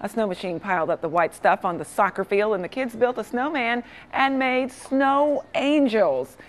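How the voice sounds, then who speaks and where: A woman's voice: a little high, moderate pace. A woman presenting weather conversationally on a morning television news show.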